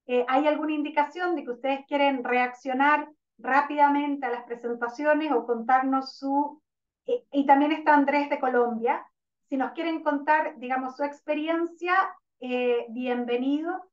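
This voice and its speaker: A woman's voice: high voice, steady tone, a little slow. A woman moderating a virtual panel, hesitant and full of restarts. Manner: hesitant, many fillers and restarts. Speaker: webinar moderator. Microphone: video call. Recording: distant, dull lo-fi call audio.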